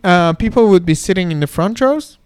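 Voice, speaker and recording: A man's voice: sits high, lively intonation, moderate pace, clear, resonant tone. A man from Iran chatting casually as a comedian and podcast co-host, telling self-deprecating anecdotes. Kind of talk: casual chat with comic anecdotes. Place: comedy club.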